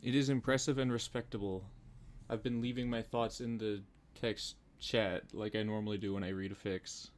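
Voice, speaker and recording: Low-pitched man chatting casually. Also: fairly even intonation, normal speed. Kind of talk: casual group chat.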